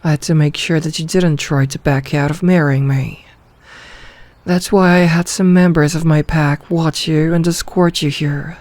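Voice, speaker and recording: A woman playing a possessive, commanding female werewolf pack leader in an ASMR roleplay, speaking slowly and with authority. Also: deep voice, fairly even intonation, a little mellow, no echo, natural voice. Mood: possessive, calm.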